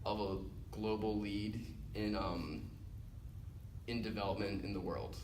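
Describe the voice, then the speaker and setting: A man's voice: fairly low pitch, fairly even intonation, a little slow, a little mellow. A young male student answering an interview casually, rambling with many fillers. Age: young adult. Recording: roomy echo, mic at a distance, faint hiss.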